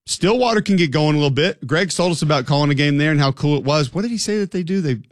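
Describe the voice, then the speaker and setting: A man's voice: fairly high pitch, expressive, fast, dry recording, studio quality. A man hosting a sports talk show, speaking conversationally in an opinionated, emphatic way and throwing out rhetorical questions.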